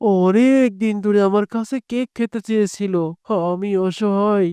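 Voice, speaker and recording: A woman voicing a poor, gentle, devout blind father in an earnest, humble manner for an animated moral story. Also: mid-range voice, normal speed, clean tone, dry recording, close to an everyday voice. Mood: humble, pleading.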